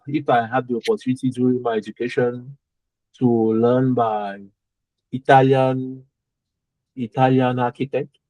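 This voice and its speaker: A man's voice: medium pitch, dark tone, no echo, rough recording. A young man from Cameroon, whose first language is French, speaking English with a French-speaking Cameroonian accent. He answers interview questions carefully, searching for words and repeating phrases. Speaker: architect guest.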